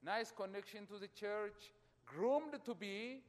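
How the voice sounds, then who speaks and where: A middle-aged man from Ethiopia preaching a sermon as a pastor. He speaks emphatically to his congregation, his loudness surging and falling. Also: sits high, animated, takes it slowly. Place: church. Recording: long church reverb.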